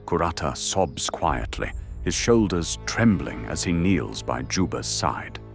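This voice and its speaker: A man's voice: very deep voice, very animated, unhurried, creaky. A man reading dramatic scripted narration for an anime audio drama. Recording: music under the narration.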